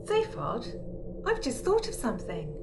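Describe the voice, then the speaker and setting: A woman's voice: on the high side, huge pitch swings, measured pace, creaky, full character voice. A woman playing a level-headed, no-nonsense spacefarer in an amateur audio drama, matter-of-fact and patient. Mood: patient, firm. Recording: noticeable background noise.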